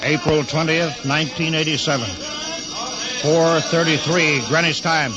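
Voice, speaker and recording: A man's voice: a little high, fairly even intonation, measured pace, dull audio, over music, natural voice. A man playing a stern, commanding spaceship captain in a 1950s radio drama, giving clipped military orders. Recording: noisy old 1950s radio recording.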